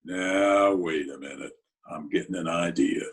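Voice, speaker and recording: A man playing a laconic, scheming Broadway tough guy in an old-time radio drama, with a husky voice and terse, deliberate delivery. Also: low-pitched, full character voice. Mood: musing, scheming. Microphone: video call recording.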